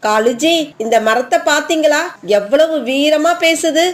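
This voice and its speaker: A woman's voice: high-pitched, a little slow. A woman voicing a haughty, greedy female crow in a children's cartoon, with a bossy, sneering manner. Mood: mocking.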